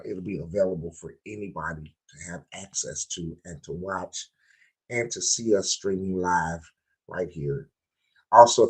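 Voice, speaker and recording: A man with a very low, hoarse and raspy voice hosts a live stream. He kicks off with loud, stretched-out hype, then drifts into relaxed, rambling talk to his viewers. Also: rough recording. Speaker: podcast host.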